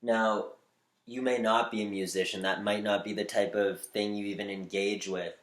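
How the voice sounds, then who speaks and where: A man with a slightly nasal voice giving upbeat, spiritual advice on using your voice, shifting between quick bursts and slow, deliberate lines. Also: fairly low pitch, flat delivery, roomy sound. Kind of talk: motivational talk to camera.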